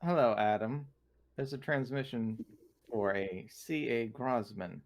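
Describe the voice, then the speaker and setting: A man voicing a literal-minded, coolly defiant android in a tabletop game, with formal, halting, precise speech. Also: medium pitch, natural voice.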